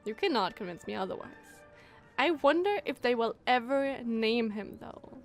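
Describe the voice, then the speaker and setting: A woman gives casual gameplay commentary as a streamer. Her voice is fairly high and she reacts emotionally and exclaims often. Faint game music runs behind her. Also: lively intonation, moderate pace, vocal fry.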